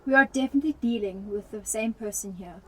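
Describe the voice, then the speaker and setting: A woman's voice: medium pitch, fast talker, breathy edge, natural voice, low-quality recording. A woman acting an inquiring, matter-of-fact police detective in a radio drama.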